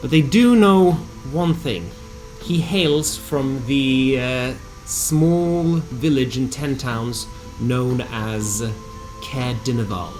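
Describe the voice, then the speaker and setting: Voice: medium pitch, takes it slowly, hardly any pauses, a little mellow. A narrator reading a scripted recap of a tabletop fantasy game in a theatrical storytelling manner with wry asides. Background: music.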